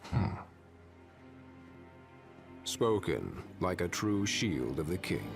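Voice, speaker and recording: A man's voice: deep voice, sing-song, drawn-out words, vocal fry, exaggerated voice. An actor playing an ancient, stern male warrior guardian who speaks in solemn, archaic pronouncements. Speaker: video game character. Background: game score music.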